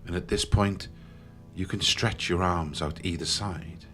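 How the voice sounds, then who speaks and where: A man leading a guided meditation in a slow, soothing manner with long pauses. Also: deep voice, fairly even intonation, fairly bright tone. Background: background music.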